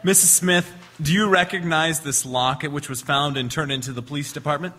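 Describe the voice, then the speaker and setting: A raspy-edged police inspector played by a man presses his case in a reverberant hall. He is self-assured, wry and accusing, with the theatrical insistence of old-time radio crime acting. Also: on the high side, brisk, bright, forceful tone. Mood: wry, probing.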